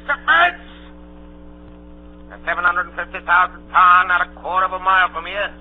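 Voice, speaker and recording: An actor playing a sly, scheming old ringleader in a radio drama. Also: male voice, sits high, lively intonation, moderate pace, slightly rough, exaggerated voice. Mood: urgent, angry. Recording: old tinny radio broadcast, music behind.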